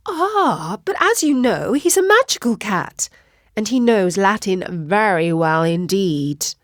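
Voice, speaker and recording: A woman's voice: mid-range voice, very animated, slow pace, dry recording, clean studio sound. A woman voicing a firm, wise witch mother in a storybook, explaining things emphatically. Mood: matter-of-fact.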